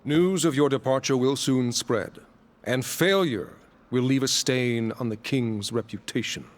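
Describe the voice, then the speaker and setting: An actor playing a stern royal official in a video game, formal and admonishing. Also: male voice, mid-range voice, unhurried, lightly acted. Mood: stern, solemn. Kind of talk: video game cutscene acting.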